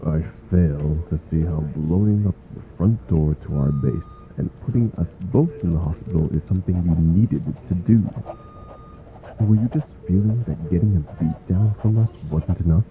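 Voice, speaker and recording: A man's voice: low-pitched, moderate pace, narrow, tinny audio, music in the background, natural voice. A man playing a grumpy, exasperated male superhero in a comedic audio roleplay, with dry, weary sarcasm. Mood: fed up.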